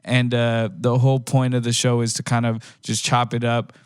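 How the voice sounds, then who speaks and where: A man's voice: medium pitch, completely flat delivery, normal speed, clean tone, no echo, clean studio sound. A man hosting a casual hip-hop talk show, speaking in a slangy, teasing way. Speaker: radio show host.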